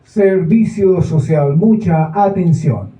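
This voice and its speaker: Mock-formal radio co-host with dry jabs, played by an actor; roomy stage sound from far off. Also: male voice, high-pitched, slow pace, dark tone, lo-fi sound. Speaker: radio show co-presenter. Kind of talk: stage acting of a radio broadcast. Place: small theater stage. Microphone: far camera. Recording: echoey room from far off.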